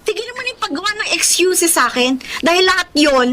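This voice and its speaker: A woman's voice: extremely high pitch, sing-song, brisk, a loud crowd. A woman acting as a jealous, accusing wife, biting and reproachful, in a melodramatic Filipino radio drama. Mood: angry, sarcastic. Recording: noisy track with sounds behind.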